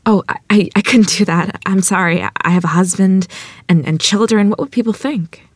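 A woman's voice: medium pitch, moderate pace, breathy edge, dry recording, clean studio sound, lightly acted. A woman playing a modest, polite rural wife and mother in a period table read, speaking with hesitant self-doubt. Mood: humble, uneasy.

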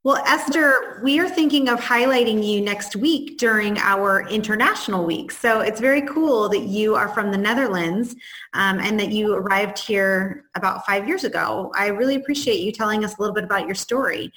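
A woman in a middle pitch asks a guest questions in an encouraging way, nudging her along with steady mm-hmms. She sounds like a co-host running a talk podcast. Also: normal speed. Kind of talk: interview questions.

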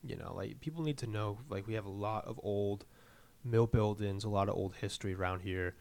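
A man in his twenties with a lowish voice co-hosts a podcast. He chats in an upbeat, joking way. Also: a little fast, creaky, a little mellow. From Manchester, New Hampshire. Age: 20s. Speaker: podcast co-host. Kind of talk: casual podcast chat.

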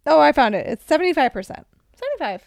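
A woman co-hosting a podcast in a casual, playful chat about film, with an animated way of talking. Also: high voice, fast, vocal fry, dry recording, clean studio sound. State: tired. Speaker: podcast co-host.

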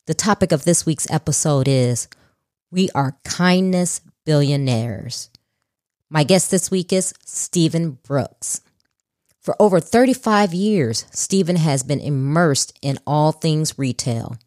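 A woman's voice: low-pitched, a little mellow, dry recording, clean studio sound. A woman from Chicago, hosting a podcast, reading an upbeat intro and then chatting casually with her guest. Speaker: podcast host.

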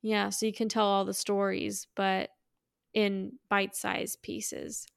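A woman's voice: mid-range voice, little pitch movement, takes it slowly, gravelly, clear, resonant tone, no room sound, studio quality. A woman co-hosting a podcast in casual chat, speaking in a playful, informal way. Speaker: podcast co-host.

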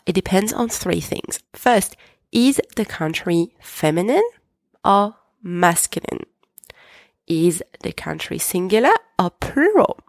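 A woman hosting a podcast lesson, patiently explaining and repeating French examples slowly. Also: on the low side, dry recording, studio quality. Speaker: French teacher, podcast host.

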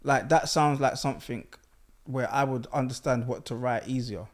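Young man, mid-pitched, halting chatty delivery, podcast co-host. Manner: hesitant, lots of ums. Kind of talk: casual chat.

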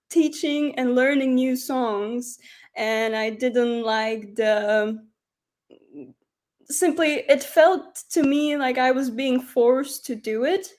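A young woman from Slovakia with Slovak as her first language, speaking as a guest in an interview and giving soft, thoughtful answers with some hesitations. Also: high-pitched, takes it slowly. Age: young adult. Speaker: guest musician. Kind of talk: casual interview.

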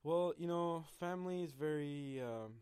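A man's voice: on the high side, moderate pace, darkish tone, clear, resonant tone, no echo, clean studio sound. A young man from Mexico, co-hosting a podcast in loose, joking chat, often laughing and trailing off. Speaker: podcast co-host. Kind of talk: casual chat.

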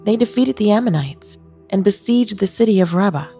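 A woman's voice: mid-range voice, expressive, fairly quick, warm tone, soft background music. A woman narrator reading a Bible passage aloud. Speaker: scripture narrator.

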